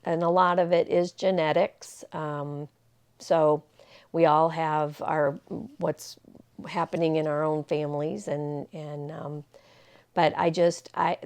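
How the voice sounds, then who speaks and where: Woman in her sixties, low voice, gravelly fry, rambling casual vlog talk, lots of ums. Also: a little mellow. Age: 60s. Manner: rambling, many fillers and restarts. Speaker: vlogger. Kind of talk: casual personal talk to camera.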